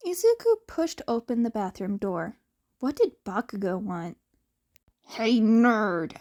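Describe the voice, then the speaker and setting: A woman's voice: mid-range voice, huge pitch swings, a little slow, dark tone. A woman narrating fan fiction as an amateur audiobook reader.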